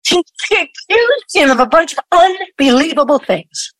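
A woman plays a hostile elder daughter in a radio play. She is blunt and scornfully sarcastic, with a high voice that swings widely, recorded close and dry. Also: very animated, a little slow, a bit bright, dry recording, exaggerated voice, rough recording. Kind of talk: audio play acting.

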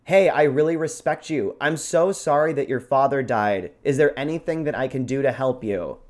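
Man doing an always-loud, oblivious adventurer. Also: medium pitch, moderate pace, voice pushed up, lightly acted. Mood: loud, earnest. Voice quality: loud, projecting.

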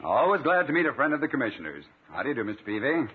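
A man's voice: medium pitch, big pitch swings, racing, breathy edge. An actor playing a chummy, self-assured, presumptuous man in a 1950s radio comedy, polite and glib. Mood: breezy, chummy. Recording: tinny 1950s radio recording, faint hiss.

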